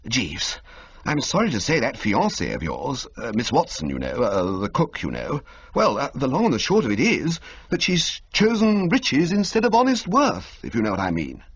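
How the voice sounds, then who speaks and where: A man voicing a chatty, flustered young gentleman who talks in a breezy, fumbling way. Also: medium pitch, wildly swooping pitch, moderate pace, slightly rough, voice pushed up, full character voice. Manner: breezy, fumbling, full of uhs. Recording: slightly muffled lo-fi audio.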